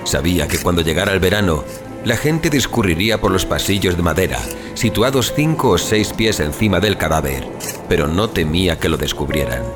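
Deep-voiced man narrating dramatically. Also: animated, brisk, music in the background. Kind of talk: dramatic scripted story narration.

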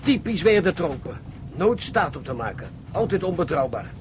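A man's voice: fairly high pitch, sing-song, moderate pace, a little hiss or hum. An actor playing a dry, sardonic seaman at the helm in a radio drama. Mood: grumbling. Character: wry, gloomy seaman at the helm. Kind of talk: Dutch radio drama acting.

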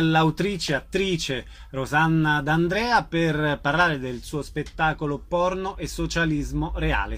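A man's voice: fairly high pitch, a bit bright. A man hosting an arts interview on the radio, asking questions and announcing events conversationally.

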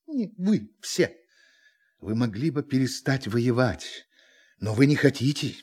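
An actor in a Russian audio drama playing a condescending, gleeful alien visitor with a theatrical, mock-polite manner. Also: male voice, medium pitch, lively intonation, takes it slowly, a little mellow, husky. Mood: smugly condescending.

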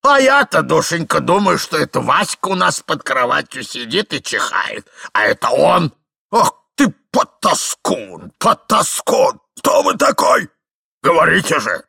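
Creaky old general type, indignant and blustery, sharp voice swinging all over. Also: male voice, on the high side, sing-song, slow pace, no echo, clean studio sound, pitched above the performer's own voice, full character voice. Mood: outraged. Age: older. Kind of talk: theatrical literary reading.

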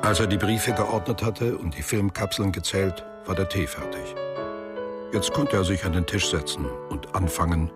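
An actor playing a sober, matter-of-fact male narrator in a literary radio play, speaking in a measured way. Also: low-pitched, loud music behind the voice, natural voice. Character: sober male narrator recounting a traveller's return.

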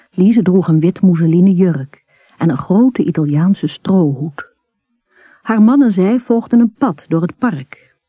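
A narrator reading a literary story in a measured, unhurried manner, with a husky, soft tone. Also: mid-range voice, no room sound.

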